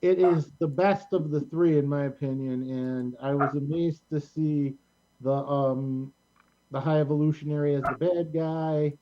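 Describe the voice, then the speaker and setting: A middle-aged American man hosting a casual show in a rambling, halting way. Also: a little high, fairly even intonation, lo-fi sound. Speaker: host of a community show.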